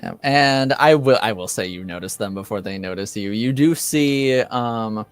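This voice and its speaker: A man improvising narration as game master, halting often and searching for his words. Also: on the low side, clean tone, soft background music.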